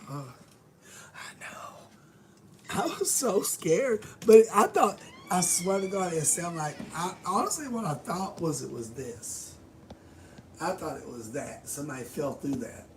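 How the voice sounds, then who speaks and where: A woman's voice: sits low, expressive, unhurried, noisy background. A middle-aged woman who has lost her voice, chatting in a rambling casual way to live viewers from her bedroom, swearing casually and sometimes dropping to a whisper. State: hoarse, losing her voice. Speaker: vlogger greeting live viewers. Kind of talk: rambling casual livestream chat.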